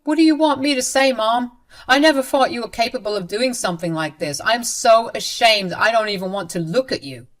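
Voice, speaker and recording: A woman's voice: on the high side, brisk, voice pushed up, lightly acted. A woman voicing a grown son who firmly scolds his mother. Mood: stern. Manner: firm and scolding.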